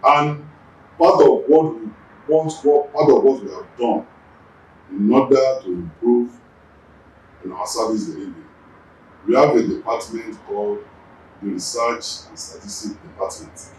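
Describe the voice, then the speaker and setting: A Nigerian man whose first language is Yoruba speaks in a deliberate official tone at a middling pitch, repeating words as he finds his footing. His voice sits well back from the mic and rings around a very echoey room. A faint background hiss runs under it. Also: distant mic. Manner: deliberate, repeats words while gathering thoughts. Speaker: traffic agency general manager. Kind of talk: measured official interview answers.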